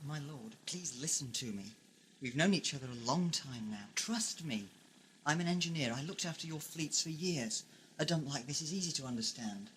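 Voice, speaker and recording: A man's voice: a little high, lively intonation, normal speed, a bit bright, a little hiss or hum, rough recording. A man playing a lord's loyal engineer in a sci-fi audio drama, urgent, blunt and persuasive. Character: urgent, pleading male engineer henchman of a lord.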